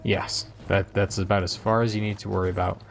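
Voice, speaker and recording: A man's voice: sits low, little pitch movement, brisk, creaky, faint music behind. A man hosting a video game let's play with casual commentary.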